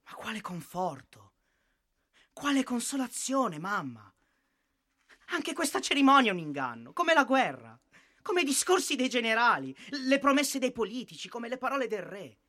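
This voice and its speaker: A man's voice: very high-pitched, very animated, normal speed, pushed and bright, heavily acted. An actor playing a dead young soldier, bitter and disillusioned, declaiming to his mother in a radio drama. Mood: bitter, accusing. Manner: declamatory, emphatic, dramatic phrasing. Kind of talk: theatrical radio drama monologue.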